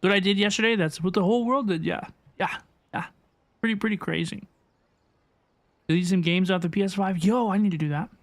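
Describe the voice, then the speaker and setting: A man's voice: high voice, a little fast, long pauses. A man livestreaming a game, talking to viewers in a chatty, informal way and often repeating his phrases. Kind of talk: casual livestream chat and game reaction. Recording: faint game music behind voice. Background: video game music.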